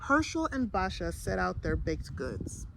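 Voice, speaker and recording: A woman reading a children's storybook aloud in an expressive way. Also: on the low side, a little slow, gravelly, a little hiss or hum, rough recording. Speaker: storybook reader.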